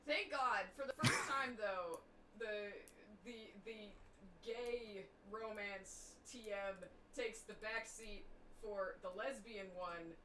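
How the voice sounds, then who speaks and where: A woman's voice: medium pitch, slow, background noise. A woman in playful livestream banter, teasing with mock sincerity. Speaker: show regular on a livestream.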